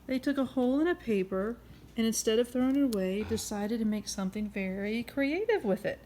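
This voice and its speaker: A woman's voice: a little high, expressive, brisk, dark tone, a little hiss or hum, rough recording. A woman hosting a children's story time, reading aloud expressively and encouragingly, with questions for her listeners. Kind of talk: interactive picture-book read-aloud.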